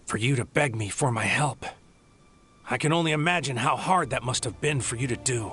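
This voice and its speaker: A man's voice: medium pitch, normal speed, fairly bright tone, slightly rough. A man playing a proud, righteous young warrior hero in a dramatic anime video game, with intense delivery. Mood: solemn, proud. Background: dramatic music.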